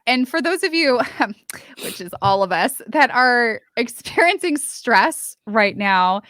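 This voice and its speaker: A woman's voice: mid-range voice, a bit bright, no echo, studio quality. A woman co-hosting a podcast, introducing the episode in a bubbly, giggly way. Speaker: podcast co-host.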